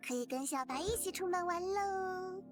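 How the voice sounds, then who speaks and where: A woman voicing an excited, cheerful small child in a visual novel game. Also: extremely high pitch, normal speed. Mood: gleeful. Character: excited small child, happy about a trip. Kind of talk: voiced visual novel dialogue in Mandarin. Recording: game music behind the voice.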